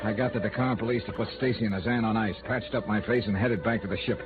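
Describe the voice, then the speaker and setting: An actor playing a wry, hard-boiled private investigator in a radio noir, tough, wisecracking and clipped. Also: male voice, fairly low pitch, fairly even intonation, fast talker, natural voice. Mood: hard-boiled narrating. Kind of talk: old-time radio noir acting and first-person narration. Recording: narrow-band vintage radio recording with music.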